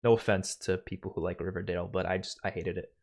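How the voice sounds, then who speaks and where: A young man with a light New York accent, hosting a casual live stream Q&A from a small home room, animated and sweary. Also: sits low, fast talker, creaky. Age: young adult. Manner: animated, swearing, laughs a lot. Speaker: streamer host. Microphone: studio mic on boom, headphones.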